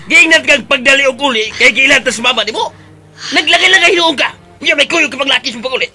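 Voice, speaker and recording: An angry, scolding father from a radio drama. The voice is high, piercing and forceful, berating a schoolgirl over faint music. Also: quick pace. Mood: furious scolding. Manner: barking, berating.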